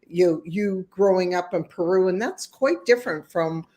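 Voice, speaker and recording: A woman hosting a casual live-stream interview, talking warmly and effusively with many fillers and restarts. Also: fairly low pitch, moderate pace. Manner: warm, effusive, rambling with fillers. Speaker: host.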